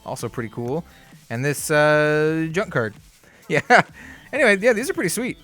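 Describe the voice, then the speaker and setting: A man hosting a card game channel, chatting casually through an unboxing, easygoing and upbeat with lots of fillers, his voice a bit hoarse. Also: a little high, big pitch swings, normal speed, fairly bright tone, faint music behind. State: slightly hoarse.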